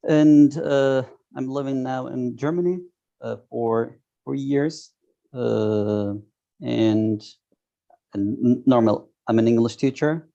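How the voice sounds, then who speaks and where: A Turkish-accented man in his thirties speaks in a gravelly, mellow voice over a dull, lo-fi video call. He talks haltingly, pausing often to search for the right English words. He sounds like an interview guest on a remote call. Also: medium pitch. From Turkey. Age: 30s. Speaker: guest, software tester.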